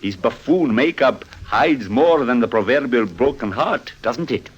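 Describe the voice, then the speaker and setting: Formal Italian police chief, suspicious, husky, animated pitch, old radio drama, muffled recording. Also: male voice, a little slow. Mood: stern. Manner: formal, interrogating.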